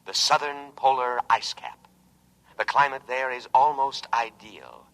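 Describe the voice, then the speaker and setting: A man's voice: medium pitch, measured pace, a bit bright, rough recording. A man in a 1950s radio drama playing a dutiful, solicitous military lifeboat machine that speaks formally and precisely. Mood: grave, dutiful. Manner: formal, precise, patriotic, unyielding. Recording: vintage radio recording.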